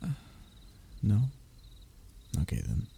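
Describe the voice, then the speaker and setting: A man's voice: slow pace, mellow, a little hiss or hum, natural voice. A man playing a young royal heir in a roleplay audio drama, formal and pompous in his wording but often faltering into nervous stammers as he addresses the listener. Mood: coaxing, hopeful, then defiant, nervous.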